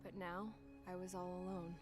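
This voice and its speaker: A woman's voice: on the low side, monotone, normal speed, natural voice. An actress playing a wry, world-weary young woman pilot and mechanic, narrating her own story in terse, reflective lines for a game. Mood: wistful. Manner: terse, reflective, plain-spoken. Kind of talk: scripted first-person voice-over. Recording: loud music under the voice.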